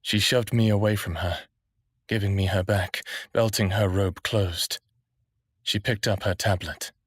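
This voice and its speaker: A man's voice: fairly low pitch, steady tone, a little slow, dry recording, clean studio sound. An actor playing a stubborn, lovestruck smartass of a human man in his thirties, narrating his own story in an intimate audio drama. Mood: yearning. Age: 30s. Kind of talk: first-person audio drama narration and dialogue.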